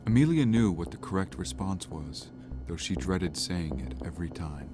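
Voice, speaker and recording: A man's voice: deep voice, animated, normal speed, over music. A man reading scripted, dramatic narration for an audio drama.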